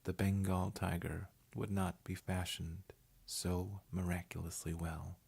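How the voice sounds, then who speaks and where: A man voice-over artist giving a measured, unhurried, hushed reading of essay and poetry. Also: sits low, level tone, gravelly, husky. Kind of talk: scripted narration of essay and poetry.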